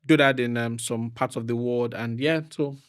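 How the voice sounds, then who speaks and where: Fast-talking Nigerian-accented man. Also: medium pitch, clean tone. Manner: fast-talking, laughs often, animated storytelling. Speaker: real estate professional, podcast guest. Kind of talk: casual podcast chat.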